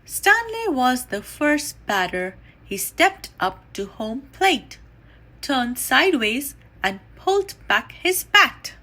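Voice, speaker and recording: A woman reading a children's story aloud in an animated, deliberate storytelling manner. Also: high-pitched. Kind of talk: expressive read-aloud storytime narration.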